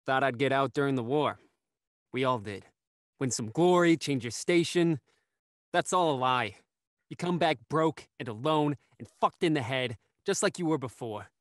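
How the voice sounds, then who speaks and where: A man acts out an embittered gardener back from war in a radio mystery. He is curt and blunt in a mid-pitched voice, recorded very dry. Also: normal speed, no room sound, natural voice. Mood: bitter, resigned. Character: bitter, gruff working-class gardener and war veteran. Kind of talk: radio mystery acting, live online table read.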